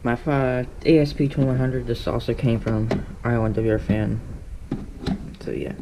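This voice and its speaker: A man gives an offhand, casual show-and-tell in a room at home. He rambles along informally from item to item. The amateur recording has clear background noise and small clicks. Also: medium pitch, fairly even intonation, measured pace. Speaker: radio collector showing his collection. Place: indoors, room at home with radios.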